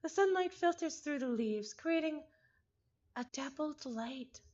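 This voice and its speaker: A woman streamer reading a visual novel aloud, expressive and given to breaking off into exasperated, chatty commentary. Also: high-pitched, a little slow, dull audio. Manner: expressive, breaks off narration to exclaim and repeat words in exasperation.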